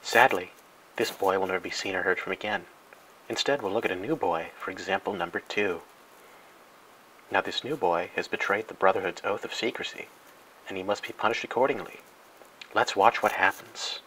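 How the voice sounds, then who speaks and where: A man with a fairly low, bright and forceful voice narrates a spoof educational film. He delivers it deadpan, with mock-serious grandeur, over some faint background noise. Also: normal speed, poor audio. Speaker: narrator and host.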